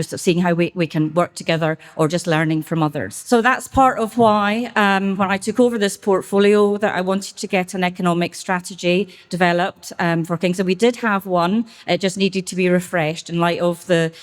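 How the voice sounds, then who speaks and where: A middle-aged woman with a Scottish accent gives an unscripted speech at a business event, halting often with fillers and restarts. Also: lowish voice, steady tone. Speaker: council member speaking at a business expo.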